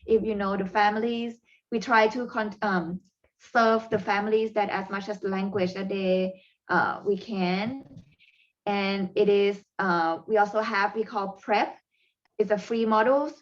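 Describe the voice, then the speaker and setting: A woman with a Thai accent, whose first language is Thai, presents parent coaching strategies in a webinar, pausing often with fillers and non-native phrasing. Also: medium pitch, muffled recording.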